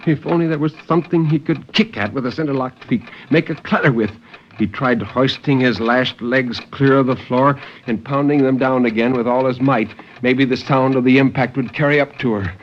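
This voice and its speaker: A man giving a dramatic, deliberate and intense reading of suspense fiction in 1950s radio style. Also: mid-range voice. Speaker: story narrator. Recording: muffled, noisy 1950s radio recording.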